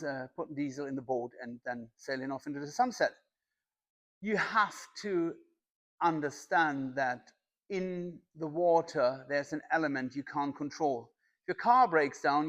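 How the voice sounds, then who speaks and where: A man's voice, pitched a little higher than average, hosts a casual explainer vlog. He talks like a practical skipper addressing his followers, laying out each point in a plain-spoken, orderly way. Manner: plain-spoken, matter-of-fact, methodical explaining. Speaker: boat owner and video channel host, captain.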